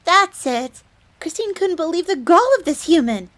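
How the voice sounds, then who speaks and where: A woman's voice: extremely high pitch, lively intonation, normal speed, fairly bright tone. A woman playing a bubbly, devoted fox maid with a fierce streak, eagerly deferential and speaking of herself in the third person in an audio roleplay. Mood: indignant, eager. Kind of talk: dramatic audio roleplay storytelling.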